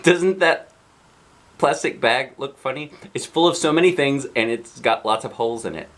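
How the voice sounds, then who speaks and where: Animated man reading a children's storybook. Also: mid-range voice, normal speed. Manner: expressive, dramatic emphasis. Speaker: dad reading a children's book aloud.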